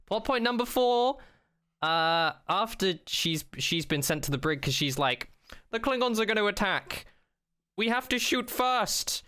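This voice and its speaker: A man's voice: high-pitched, expressive, measured pace, pushed and bright, clean tone, dry recording, studio quality. A man co-hosting a podcast, chatting casually and jokingly with blunt, comically exaggerated emphasis. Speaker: podcast co-host. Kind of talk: casual, joking chat about sci-fi television.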